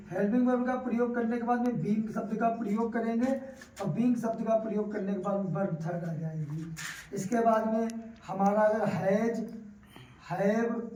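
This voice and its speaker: A man's voice: high-pitched, mellow. A man with a North Indian accent teaching grammar in an explanatory, didactic way, repeating key phrases for emphasis. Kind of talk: classroom grammar lesson, explanatory. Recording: roomy echo, distant mic, faint noise.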